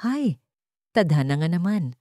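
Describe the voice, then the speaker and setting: A woman's voice: lowish voice, big pitch swings, a little slow, warm tone, no echo, clean studio sound. A woman voicing a shy, flustered, hesitant young woman falling in love in a romance audio story. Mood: wistful.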